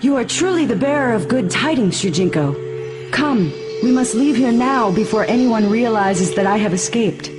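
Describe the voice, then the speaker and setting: A woman's voice: a little high, lively intonation, normal speed, over music, close to an everyday voice. An actress playing a regal queen in a video game, gracious and commanding with formal, stately speech. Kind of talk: video game cutscene acting.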